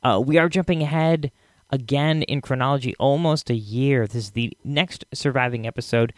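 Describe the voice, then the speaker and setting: A man hosting a podcast with a casual, halting, rambling introduction. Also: mid-range voice, dry recording. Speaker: podcast host.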